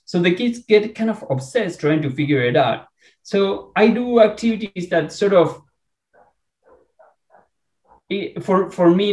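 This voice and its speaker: A man's voice: on the high side, a little mellow. Argentine man, roomy echoey sound. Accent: Argentine Spanish. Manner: enthusiastic, halting with many restarts. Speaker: researcher and guest. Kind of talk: podcast interview.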